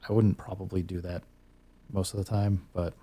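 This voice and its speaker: A man's voice: low-pitched, level tone, normal speed, dark tone, slightly rough. A man hosting a casual, unscripted music gear tutorial, rambling a little as he thinks aloud while demonstrating.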